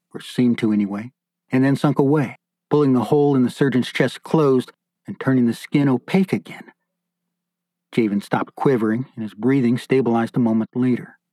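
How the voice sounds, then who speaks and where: A man's voice: mid-range voice, warm tone. A man narrating a scripted horror story as an author and audiobook narrator. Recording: roomy echo, faint music behind.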